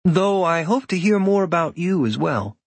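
A man voicing a young woman druid who asks quick, eager questions. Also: on the low side, expressive, dry recording, voice pushed up. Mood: curious.

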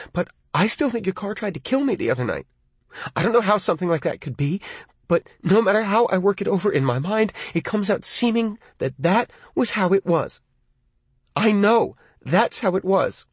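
A man's voice: high voice, breathy edge, no echo, pitched above the performer's own voice, exaggerated voice. A man voicing a frightened teenage girl who pleads on the phone, her voice wavering toward tears. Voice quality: wavering, tearful.

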